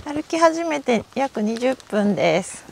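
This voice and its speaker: Japanese woman hiking and talking to herself, lively bouncy pitch, kinda high voice, outdoors on a trail. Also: slow pace, vocal fry, a little hiss or hum. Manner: chatty, talks to herself while walking uphill. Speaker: hiking vlogger. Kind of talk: casual solo hiking vlog talk. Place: outdoors on a forest mountain trail.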